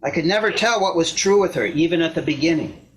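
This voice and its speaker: A man playing a wounded, self-justifying husband looking back on a failed marriage in a measured, reflective dramatic monologue reading. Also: on the high side, close to an everyday voice, low-quality recording. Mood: wounded, puzzled. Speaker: actor in a readers theater performance.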